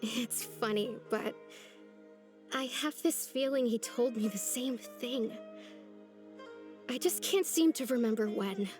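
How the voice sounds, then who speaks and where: A woman playing a wistful, sincere young village girl in a video game, with soft music behind her. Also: on the high side, slow pace, pauses often. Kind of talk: video game dialogue acting.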